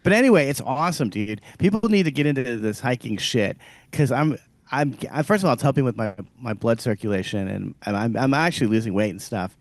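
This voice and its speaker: A man's voice: mid-range voice, lively intonation, brisk, dry recording. Profane, rambling male podcast host. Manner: profane, rambling, laughs often. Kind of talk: crude casual chat.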